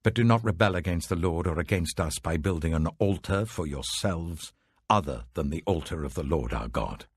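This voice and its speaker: Man playing a stern, deep-voiced accusing envoy. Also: animated, moderate pace, slightly rough, no room sound, clean studio sound, full character voice. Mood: stern, indignant. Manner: forceful, accusatory oratory. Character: indignant male Israelite envoy sternly accusing rebels.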